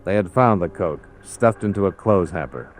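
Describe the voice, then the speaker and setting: An actor playing a hardboiled insurance investigator, terse and matter-of-fact, narrating and acting in an old radio noir drama. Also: male voice, low-pitched, expressive, moderate pace, a little hiss or hum. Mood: factual narration.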